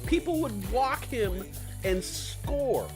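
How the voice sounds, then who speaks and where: Young male stream host, lively voice with faint music underneath. Swears a lot and rambles, swinging from low mumbles to loud shouts. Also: takes it slowly. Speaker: livestream host. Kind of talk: casual live stream chat.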